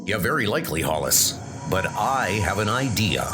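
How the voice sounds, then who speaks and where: A man playing a resolute ex-soldier who leads armored knights, speaking in a measured, commanding manner for a comic-book audio drama. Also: lowish voice, sing-song, pitched below the performer's own voice. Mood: measured, grave. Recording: music under the voice.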